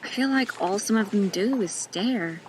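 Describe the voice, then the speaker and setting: A woman's voice: mid-range voice, animated, moderate pace, darkish tone, a little hiss or hum, lightly acted, rough recording. An actress playing a hesitant, kind-hearted new female nurse in her first week, in an audio drama. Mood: earnest. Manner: tentative, earnest.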